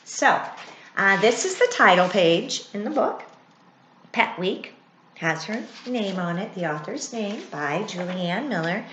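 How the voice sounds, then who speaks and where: Middle aged lady teacher doing a slow animated storytime read for little kids, echoey room. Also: mid-range voice, slight background noise. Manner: expressive, with dramatic gasps and thoughtful hums. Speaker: teacher reading a children's book aloud. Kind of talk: animated read-aloud for young children, with asides and questions. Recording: roomy, echoey indoor sound.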